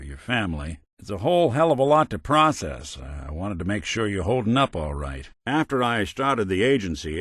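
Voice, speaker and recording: Weary robot detective from a video game, low voice that moves around a lot, wry folksy noir slang, decent at heart. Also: male voice, fairly bright tone, dry recording, clean studio sound. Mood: concerned, then warm, wry. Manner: wry, folksy hardboiled drawl with old-fashioned slang. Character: world-weary, principled male android detective, old-time noir type.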